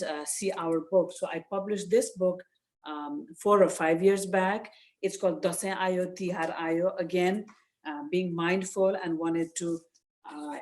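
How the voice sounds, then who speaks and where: An older woman from Nepal with a Nepali accent, giving informal, earnest advice as a guest speaker, with frequent hesitant pauses. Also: lowish voice, a little mellow. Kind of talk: informal advice talk to parents. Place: virtual session over video call.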